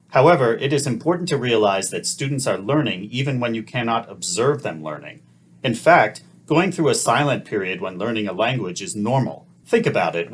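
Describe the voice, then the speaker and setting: Husky low-voiced man explaining a lesson. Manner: calm, measured, explanatory. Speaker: teacher trainer. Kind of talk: scripted lesson narration.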